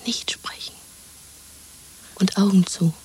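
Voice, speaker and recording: An actress playing a tender young woman who coaxes in a hushed, breathy whisper, in a 1970s radio drama. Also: medium pitch, slow pace, natural voice, low-quality recording. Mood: soothing, hypnotic. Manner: hushed, coaxing, hypnotic. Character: tender, soothing young woman, a secret lover.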